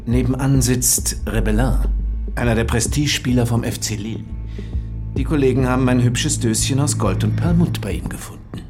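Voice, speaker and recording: A man plays a sceptical detective in an audio drama. He is mid-pitched, measured and quietly reproachful, with music clearly playing behind him. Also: lightly acted. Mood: matter-of-fact. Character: police inspector, dry, sceptical, quietly reproachful subordinate. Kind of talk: German audio drama acting.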